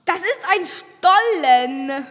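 A female actor plays an excitable, cheeky eleven-year-old with a very high voice. The child exclaims and protests, and the sound carries a long cave-like reverb. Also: very slow, exaggerated voice. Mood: indignant. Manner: exclaiming, indignant.

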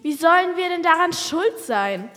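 A woman's voice: very high-pitched, expressive, moderate pace, fairly bright tone. A female performer playing a bold, questioning eleven-year-old child who talks back indignantly in an audio play. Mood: challenging. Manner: challenging, indignant. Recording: long cave-like reverb.

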